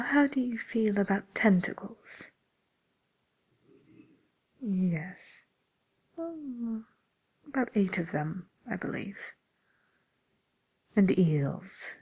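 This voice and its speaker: A polite, attentive matchmaker for the wicked, played by a woman in an ASMR fantasy roleplay. She speaks in a hushed, very breathy whisper, slightly low and slow. Her delivery is gentle and hesitant, like courteous customer service, caught close to the microphone. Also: heavily acted.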